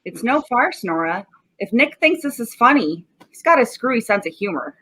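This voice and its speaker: A woman's voice: fairly high pitch, big pitch swings, moderate pace, fairly bright tone, breathy edge, exaggerated voice. A woman playing a stubborn, sarcastic male homicide cop with slangy tough talk in 1940s radio style. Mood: skeptical.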